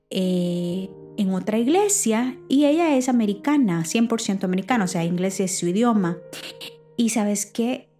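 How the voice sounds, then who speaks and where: A woman who preaches, with Spanish as her first language, telling a personal story in a lively, halting way with many restarts. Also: mid-range voice. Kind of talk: personal testimony, casual talk.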